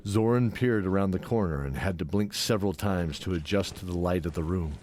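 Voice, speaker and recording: A man's voice: deep voice, fairly quick, a little mellow, slight background noise. A man narrating a scripted fantasy story as the narrator of an audio drama. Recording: music under the voice.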